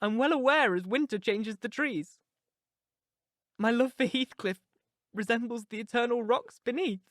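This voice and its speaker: A reader voicing a headstrong young woman, pitched well above the narration and pushing hard on her words. She is impetuous and declamatory, caught up in passion. The recording is dry and close, with no room around it. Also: moderate pace, dry recording, close to an everyday voice. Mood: impassioned. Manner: intense, emphatic, declamatory. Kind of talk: audiobook character reading.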